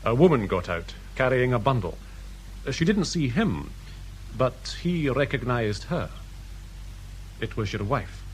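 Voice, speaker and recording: British police sergeant with lively intonation. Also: male voice, mid-range voice, a little slow, long pauses, a little hiss or hum, low-quality recording. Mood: matter-of-fact. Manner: deferential, matter-of-fact. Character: dutiful, polite male police sergeant. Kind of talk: radio crime drama acting.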